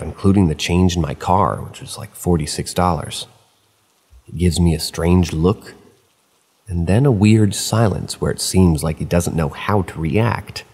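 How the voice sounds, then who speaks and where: A man reading first-person stories aloud at a steady pace, slipping in dry asides of his own. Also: deep voice, husky, big-room sound. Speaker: narrator of online horror stories.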